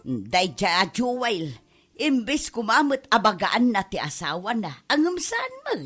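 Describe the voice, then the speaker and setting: A woman's voice: mid-range voice, huge pitch swings, unhurried, a bit bright, breathy edge, faint music behind, heavily acted. A woman with an Ilocano accent playing a scornful gossip in a comedy drama, full of exclamations.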